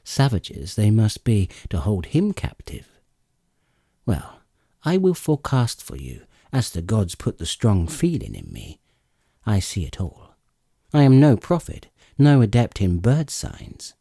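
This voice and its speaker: Assured goddess in seafarer's guise male reader, epic audiobook; slow, declamatory, widely swooping intonation. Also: lowish voice, huge pitch swings, mellow, slightly rough, no room sound, clean studio sound, exaggerated voice. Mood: confident, foretelling. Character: grey-eyed goddess disguised as a seafaring lord, assured, questioning. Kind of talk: dramatic epic audiobook reading.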